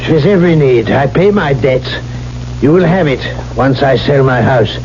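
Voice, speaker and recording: Actor as a proud, stiff English gentleman father, muffled broadcast. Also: medium pitch, lively intonation, moderate pace, lightly acted. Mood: proud, stubborn. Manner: stiff, formal, grave. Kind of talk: 1970s radio drama acting. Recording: muffled, hissy old radio broadcast.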